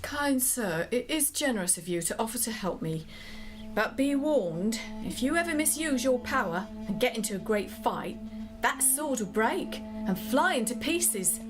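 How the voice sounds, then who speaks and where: A woman's voice: a little high, lively intonation, measured pace, music in the background. A woman playing a knowing, courteous young damsel in an audio drama, with formal archaic phrasing. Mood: grave warning.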